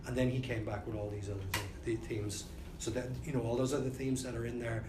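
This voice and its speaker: A man's voice: medium pitch, level tone, fast talker, background noise. Rambling Irish man in a roomy hall. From Ireland. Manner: rambling, restarts often, chuckles. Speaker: film director. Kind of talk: casual interview chat. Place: busy event venue. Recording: roomy hall with loud chatter.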